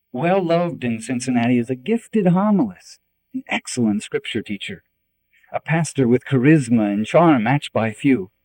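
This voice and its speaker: A middle-aged man with a warm, relaxed tone narrates a reflective audiobook text. He speaks earnestly, like a preacher, leaving deliberate pauses. The recording is dry, with very little room sound. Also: fairly high pitch, no echo, rough recording. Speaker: Jesuit priest and spiritual director reading a book foreword.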